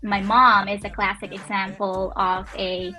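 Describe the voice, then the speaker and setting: Woman, 30s, from the Philippines, interview guest; eager and halting, crisp bright tone. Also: mid-range voice, flat delivery, faint music behind. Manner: eager, many fillers and restarts. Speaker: guest, nurse and business owner. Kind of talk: casual interview talk.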